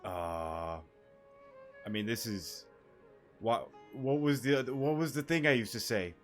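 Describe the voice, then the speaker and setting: A man's voice: mid-range voice, takes it slowly. A young man giving casual, rambling, joking reaction commentary as he plays a game. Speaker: gaming video host. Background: game music.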